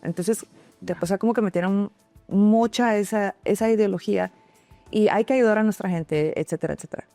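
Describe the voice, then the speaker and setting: A woman's voice: mid-range voice, brisk, creaky, mellow, no echo, soft background music. A woman, speaking casually and reflectively as an interview guest. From Guatemalan, grew up in Texas, United States. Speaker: interview guest, singer-songwriter and doctor.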